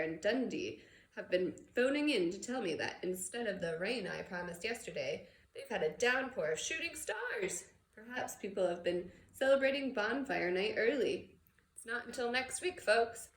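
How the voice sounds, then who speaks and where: A woman's voice: mid-range voice, expressive, normal speed, fairly bright tone. A woman voicing a folksy male television weatherman in a storybook, chatty and emphatic. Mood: cheerful, chatty. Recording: roomy echo with faint background noise.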